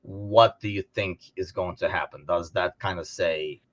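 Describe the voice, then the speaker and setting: A man co-hosting a casual sports podcast, talking informally and thinking out loud as he discusses. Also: deep voice, fairly even intonation, a little slow, muffled recording. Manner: informal, conversational, thinking aloud. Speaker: podcast co-host.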